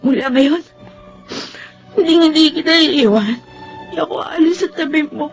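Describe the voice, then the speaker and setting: Very high-voiced distraught young daughter. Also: female voice, moderate pace, faint music behind. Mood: apologetic, tearful. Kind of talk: Tagalog radio drama acting.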